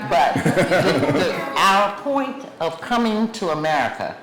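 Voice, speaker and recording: Emphatic speaker in an echoey room. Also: female voice, lowish voice, animated, slow pace, a crowd in the background. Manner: emphatic, lists places one by one. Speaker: guest speaker. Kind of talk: public lecture with questions. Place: museum lecture room. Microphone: clip mic under a shawl. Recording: echoey room, faint background noise.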